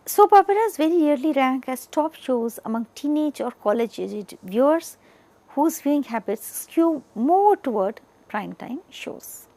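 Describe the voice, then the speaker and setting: Indian-accented woman lecturer, lightly creaky voice. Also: high-pitched, expressive, measured pace, dark tone, poor audio. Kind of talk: educational lecture, partly read from notes.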